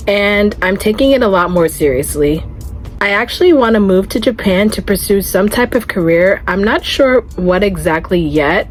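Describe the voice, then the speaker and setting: A young woman in her twenties chats earnestly to camera in a relaxed vlog, her voice sitting a little low. She talks in a loose, friendly flow with plenty of "like" fillers, and faint music plays underneath. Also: low-quality recording. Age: 20s. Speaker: vlogger. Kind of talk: casual talking-to-camera vlog.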